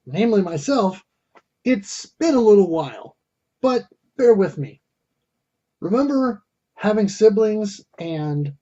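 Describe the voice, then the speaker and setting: Mellow, husky man narrating a game review. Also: high-pitched, muffled recording. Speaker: host of a retro game review show.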